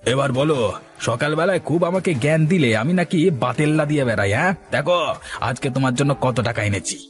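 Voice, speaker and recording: Cartoon role: man playing a bossy villager, fast, threatening, faint music. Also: on the high side, lively intonation, lightly acted. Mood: defensive. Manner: commanding, threatening, brisk. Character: stern bossy village man, middle-aged. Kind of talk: Bengali cartoon voice acting.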